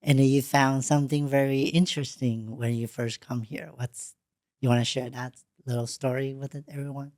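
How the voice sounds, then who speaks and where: A woman, not a native English speaker, hosting an interview in a studio, talking in a halting way with frequent restarts. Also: very deep voice, fairly even intonation, a little mellow, no echo. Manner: halting, restarts often, plain unpolished English. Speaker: podcast host. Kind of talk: casual interview.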